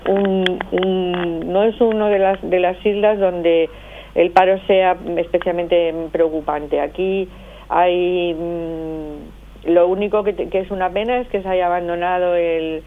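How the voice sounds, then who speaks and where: A middle-aged woman from Madrid, with a Madrid accent, talks at length and with many pauses as a phone guest on a radio interview. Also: lowish voice, fairly even intonation. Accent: Madrid Spanish. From Madrid, Spain. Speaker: association spokesperson, phone guest. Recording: tinny phone line.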